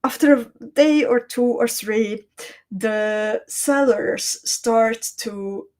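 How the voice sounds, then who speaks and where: A woman's voice: a little high, slow. A woman hosting a beading livestream, chatting as she shows off beads and answers viewers by name. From Hungary or Slovakia. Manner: chatty and descriptive, warmly addressing viewers by name. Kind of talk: live-stream chat, showing beads and reading viewer comments.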